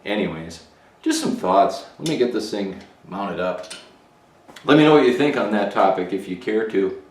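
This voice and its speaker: Middle-aged man, relaxed rambling workshop talk, recorded off a camera mic across a roomy garage. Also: fairly low pitch, moderate pace, recorded from a distance. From Minnesota, USA. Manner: relaxed, musing, thinks aloud with fillers. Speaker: motorcycle builder and channel host. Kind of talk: casual workshop vlog. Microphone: camera mic, moving around the room.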